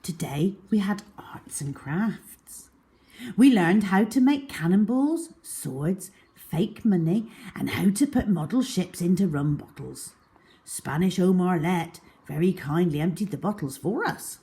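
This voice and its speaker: A woman's voice: lowish voice, animated, a little slow, dark tone. A woman storyteller reading a children's diary-style story aloud with expression.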